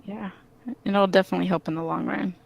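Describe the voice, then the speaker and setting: A woman's voice: fairly low pitch, steady tone, fast, vocal fry. A woman in casual conversation, giving brief, reserved, agreeable answers. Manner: reserved, mostly short agreeing replies. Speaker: collaborator on visual novel development.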